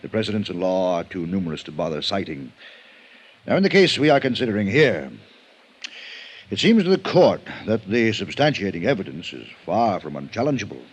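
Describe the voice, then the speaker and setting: An actor playing a measured, authoritative male judge with formal, deliberate phrasing in a vintage radio drama. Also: low voice, animated, breathy edge. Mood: solemn, judicial. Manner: deliberate, pompous legal phrasing. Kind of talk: 1950s radio drama acting. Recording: vintage radio recording, faint noise.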